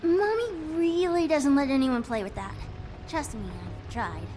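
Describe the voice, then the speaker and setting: A woman's voice: high-pitched, big pitch swings, a little slow, mellow, a little hiss or hum. A woman playing an anxious young girl who fears getting in trouble, in a video game. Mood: anxious, pleading. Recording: music behind the voice.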